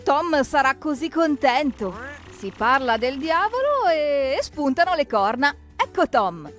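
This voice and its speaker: A woman narrating a preschool cartoon in an expressive, exclamatory way, speaking to the characters. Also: high voice, unhurried. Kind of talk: lively scripted narration for preschoolers, talking to the characters. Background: background music.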